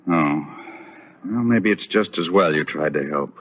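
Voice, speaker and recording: A muffled vintage radio recording of a secretive, troubled doctor. His deep voice is rough and husky, terse and weary with his wife. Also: animated, a little slow, full character voice. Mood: troubled, resigned. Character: troubled, curt, secretive doctor husband Jeff. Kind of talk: 1930s radio soap opera acting.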